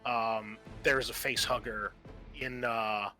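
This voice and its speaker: A man narrating a tabletop horror game as game master, improvising in a halting, casual way with some swearing. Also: mid-range voice, steady tone, bright, forceful tone, faint music behind.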